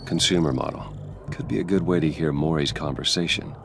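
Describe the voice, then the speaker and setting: An actor playing a guarded, brooding wealthy vigilante in a video game, speaking in a terse, serious, low-key way. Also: male voice, steady tone, fairly quick, music in the background. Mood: guarded, observant.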